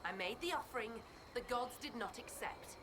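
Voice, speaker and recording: An actress playing a prickly, guarded exiled apprentice mage in a video game, agitated and using archaic phrasing. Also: female voice, on the high side, animated, normal speed, background noise, natural voice. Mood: defensive, indignant.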